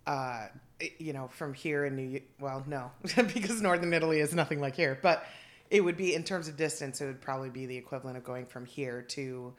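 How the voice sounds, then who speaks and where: A woman's voice: very deep voice, steady tone, fairly quick. A middle-aged woman, a guest on a podcast, chatting casually about her life and laughing often. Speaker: podcast guest, dance and theater teacher. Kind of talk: casual interview chat.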